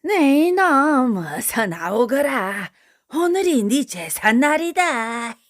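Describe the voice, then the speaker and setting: High, taunting vengeful ghost. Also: female voice, very animated, no room sound, clean studio sound, heavily acted. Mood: menacing, gloating. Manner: taunting, drawn-out threats. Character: vengeful cackling ghosts of executed court eunuchs, menacing. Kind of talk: theatrical folktale storytelling.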